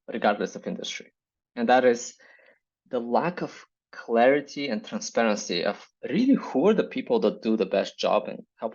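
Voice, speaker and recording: A man from Bulgaria with a Bulgarian accent, a startup founder speaking in a measured, reflective way as an interview guest. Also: mid-range voice, dull audio. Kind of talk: business interview.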